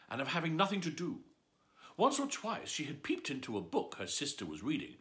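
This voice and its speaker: Brief: theatrical man reading a bedtime story, bright tone, wildly varied pitch. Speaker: storyteller host.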